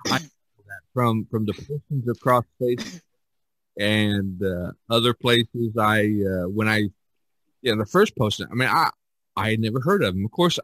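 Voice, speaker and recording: A man hosting a podcast, talking casually in a rambling, halting way. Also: on the low side, fairly bright tone, no echo, poor audio. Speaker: podcast host. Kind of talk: casual conversation.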